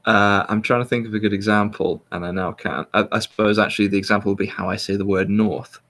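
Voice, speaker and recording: A man's voice: lowish voice, fairly even intonation, quick pace, a bit bright. A man from southern England with a southern English accent, hosting a casual chat and laughing often. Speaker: host.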